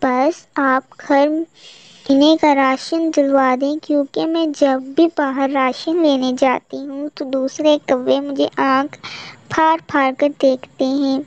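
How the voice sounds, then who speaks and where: A woman puts on a vain, pretty young female crow from a cartoon. The voice is high and slow, sweetly demanding and coquettish. The audio is dull. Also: fairly even intonation. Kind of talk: Hindi cartoon story dialogue. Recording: muffled lo-fi cartoon audio with echo.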